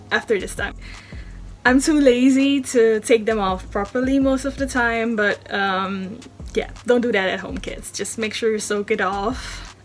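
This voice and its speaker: A young woman from the Netherlands with a Dutch accent, chatting casually through a nail tutorial voice-over, with many ums. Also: mid-range voice. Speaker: beauty vlogger.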